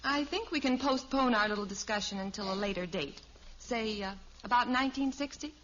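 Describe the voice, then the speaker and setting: An actress playing a sensible, reassuring, gently chiding mother in a 1950s radio sitcom. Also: a little high, a little fast, a little hiss or hum, lightly acted. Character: Margaret, patient, sensible, gently wry mother. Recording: muffled old radio recording.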